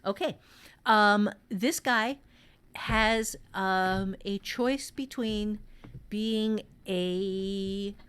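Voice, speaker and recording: A woman teaching fiction writing in a casual podcast conversation, speaking deliberately and repeating phrases for emphasis. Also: mid-range voice, a bit bright, clean tone.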